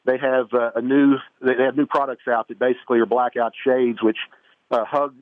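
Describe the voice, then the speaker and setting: A man's voice: mid-range voice, level tone, a little fast, no room sound. Man on a tinny phone line, folksy. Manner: folksy, rambling with many restarts and fillers. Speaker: home improvement expert guest. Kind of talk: casual radio interview.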